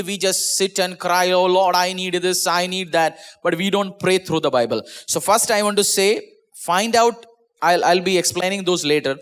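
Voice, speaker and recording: Higher-pitched Indian English pastor preaching. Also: male voice, fairly bright tone. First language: Malayalam. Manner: earnest, repeats and restarts words, drops pitch at phrase ends. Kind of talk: online sermon. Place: small church.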